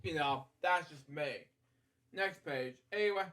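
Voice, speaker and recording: A man with a distinctly high-pitched voice reads a picture book aloud as a bedtime storyteller. His delivery is halting and rambling, slipping from the story into casual, off-the-cuff commentary and back again. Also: fairly bright tone. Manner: rambling, halting, frequently digressing with asides.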